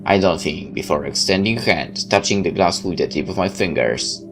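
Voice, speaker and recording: A man's voice: low voice, lively intonation, moderate pace. A man reading a visual novel aloud in first person, sometimes stepping out of the narration for casual comments. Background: background music.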